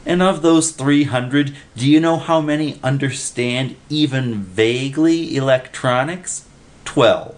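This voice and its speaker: A man's voice: medium pitch, unhurried, pitched above the performer's own voice, lightly acted. Man playing an exasperated, emphatic learned boss. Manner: emphatic, rhetorical questioning.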